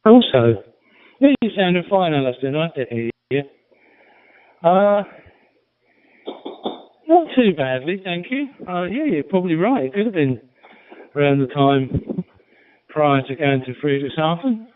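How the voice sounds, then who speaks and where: A middle-aged or older man chatting as an amateur radio operator, halting and understated, over a radio link. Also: a little high, lively intonation, no room sound. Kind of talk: casual radio chat. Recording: narrow-band, phone-like radio link.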